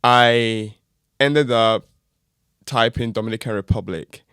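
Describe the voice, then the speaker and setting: A man's voice: medium pitch, takes it slowly, a bit bright, clear, resonant tone, dry recording, clean studio sound. A man hosting a podcast interview, enthusiastic and affirming, praising his guest and asking friendly questions. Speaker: podcast host.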